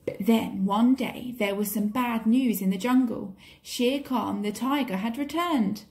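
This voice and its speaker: A woman's voice: on the high side, unhurried, a little mellow. A woman reading a children's story expressively, like a teacher to pupils.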